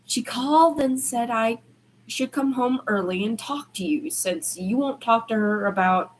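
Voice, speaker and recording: A woman's voice: on the high side, lively intonation, a little slow, slight background noise, voice pushed up, low-quality recording. A woman reading aloud as an awkward, brooding male college student who speaks hesitantly. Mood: uneasy.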